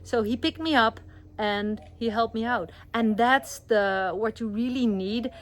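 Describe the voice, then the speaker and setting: A woman's voice: fairly high pitch, unhurried, a little hiss or hum. Dutch-accented woman giving earnest advice. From Netherlands. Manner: earnest, encouraging, loose non-native phrasing. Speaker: expat vlogger. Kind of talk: casual advice vlog.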